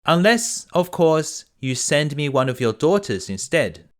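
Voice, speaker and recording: Man voicing a loud, menacing fairy tale beast. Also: on the high side, big pitch swings. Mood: bargaining. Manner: loud, slow, menacing delivery. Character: huge fearsome male beast, angry and threatening. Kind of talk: children's fairy tale storybook reading.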